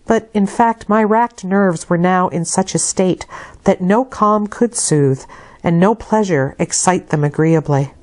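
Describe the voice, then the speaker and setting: A woman narrating literary fiction as an audiobook, with measured, expressive delivery and deliberate phrasing. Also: lowish voice. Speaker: audiobook reader.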